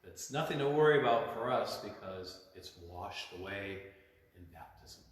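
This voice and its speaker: A man's voice: fairly low pitch, lively intonation, moderate pace. A man, a priest, teaching children informally about faith in simple words, with repetition and frequent checking questions. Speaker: priest hosting a children's church storytime. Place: inside a large church. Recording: very echoey church, long reverb, faint noise.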